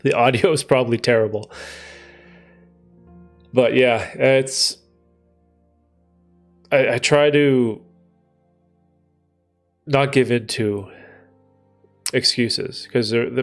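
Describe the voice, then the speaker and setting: A man's voice: mid-range voice, unhurried, creaky, long pauses, soft background music. A man from Canada hosting a live Q&A stream, talking with a stuffy nose from a cold and rambling through his thoughts with fillers and restarts. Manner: rambling, thinking aloud with many fillers and restarts. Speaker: livestream host answering chat. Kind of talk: casual live Q&A chat.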